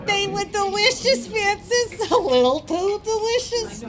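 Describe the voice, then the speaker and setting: A woman's voice: very high-pitched, unhurried, a crowd in the background. A woman playing an overstuffed housewife in an old-time radio commercial sketch. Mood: queasy, exaggerated. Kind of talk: parody radio commercial acting.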